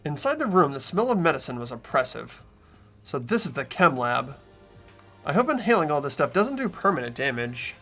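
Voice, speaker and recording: Higher-voiced, slightly creaky man hosting a playthrough. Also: big pitch swings, moderate pace, bright, forceful tone, soft background music. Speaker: host and narrator of a game playthrough. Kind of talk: casual commentary mixed with expressive script reading.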